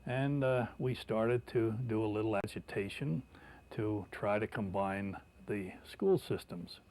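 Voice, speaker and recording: Halting older man, lowish voice, recollecting. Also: darkish tone. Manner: halting, deliberate, with frequent hesitations. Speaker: interviewee. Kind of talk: recollection in an interview.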